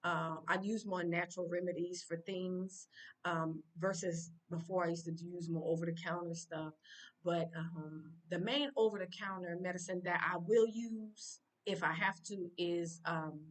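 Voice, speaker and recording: An American woman chats to her viewers in a loose, rambling way, full of fillers and restarts. Her voice sits a little lower than usual and is caught a bit far from the mic. The talk stays informal and direct throughout. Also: steady tone, measured pace. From United States. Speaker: vlogger. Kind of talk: casual talk to camera.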